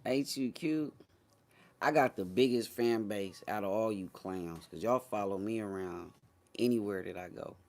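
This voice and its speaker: A man's voice: on the low side, normal speed. A man hosting a casual live stream, chatting with viewers in a slangy, boastful, teasing way.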